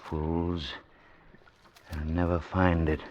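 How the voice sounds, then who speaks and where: Brooding, very deep-voiced greedy man. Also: flat delivery, drawn-out words, darkish tone. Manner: brooding, snappish, muttering to himself. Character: Hugo, greedy secretive irritable man hiding on swamp houseboat. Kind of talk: 1940s radio acting. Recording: noisy, dull 1940s radio recording.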